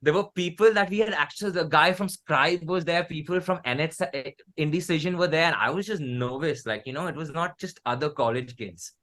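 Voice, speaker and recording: A man's voice: on the high side, fairly quick, few pauses, fairly bright tone. A man in his thirties with an Indian accent, chatting casually as an interview guest. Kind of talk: casual chat.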